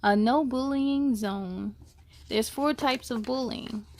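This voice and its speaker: A woman's voice: on the high side, slow, darkish tone. A woman hosting a children's story time, reading aloud expressively and speaking to young listeners in an encouraging way.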